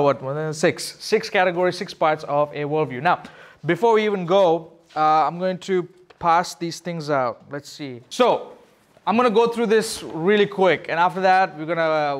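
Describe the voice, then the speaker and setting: A man from Sri Lanka teaching as a youth pastor in a casual, question-driven way, explaining things informally. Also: fairly high pitch. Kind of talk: casual interactive teaching talk.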